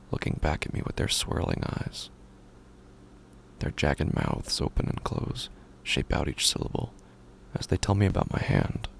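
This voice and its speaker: A deep, heavily creaking voice of a bereaved, sleepless man, played by a male actor, narrating a horror audio story. He is subdued and brooding, speaking haltingly in a breathy near-whisper. A faint layer of noise sits behind the words. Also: heavily acted. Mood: hushed, haunted. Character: grieving, hollow, sleepless man wandering at night. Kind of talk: scripted first-person horror audio fiction narration.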